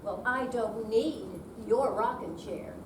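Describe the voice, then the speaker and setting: A woman's voice: a little high, takes it slowly. An older woman reciting song lyrics as spoken lines in an amateur live performance. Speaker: amateur readers theater performer. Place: indoor senior center room, live performance. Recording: roomy, distant mic, faint noise.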